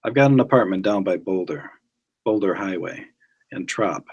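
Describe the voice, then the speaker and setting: A guarded, suspicious male agent, as a man reads him in a story, gruff and blunt. His voice is fairly low and husky, and his retorts are terse and dry. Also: moderate pace, darkish tone, muffled recording. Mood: guarded, irritated.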